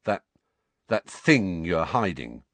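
A man's voice: deep voice, very animated, takes it slowly, a bit bright, no echo, exaggerated voice. Man doing a surly, snarling teenage bully. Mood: hostile, defensive. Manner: grunting, snarling, defensive. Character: surly, thuggish, bullying teenage boy, easily riled.

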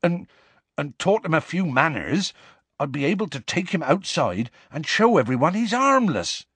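A huge half-giant man from a storybook, soft-hearted and anxious. He pleads earnestly in rustic dialect, his pitch lifting and swooping, recorded close and dry. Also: big pitch swings, moderate pace, slightly rough, no echo. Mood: desperate, tearful.

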